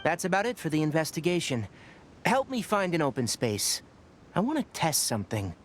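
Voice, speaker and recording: An actor voicing a young forest ranger scholar who explains things in a measured, matter-of-fact way. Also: male voice, on the high side, big pitch swings, a little hiss or hum. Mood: explaining.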